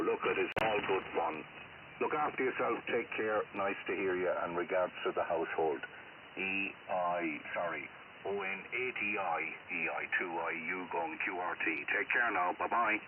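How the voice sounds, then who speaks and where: Man, very deep voice, muffled narrowband shortwave, loud hiss, rambling casual radio chat, hesitant. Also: a little slow, distant mic. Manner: rambling, hesitant, self-deprecating. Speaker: amateur radio operator.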